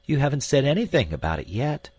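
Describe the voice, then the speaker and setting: A man's voice: mid-range voice, big pitch swings, fast talker, darkish tone, breathy edge, no room sound, voice pushed up. A man voicing an eager young woman of nineteen in a storybook reading.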